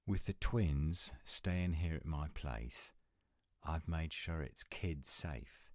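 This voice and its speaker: Male actor as gruff British werewolf, audio roleplay. Very low register, measured pace, curt and exasperated. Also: darkish tone, no room sound, clean studio sound. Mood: irritated.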